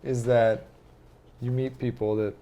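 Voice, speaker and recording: A man's voice: medium pitch, level tone, unhurried, dark tone, slight background noise. A young adult man hosting a podcast in a casual, chatty style. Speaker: podcast host. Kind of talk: casual chat.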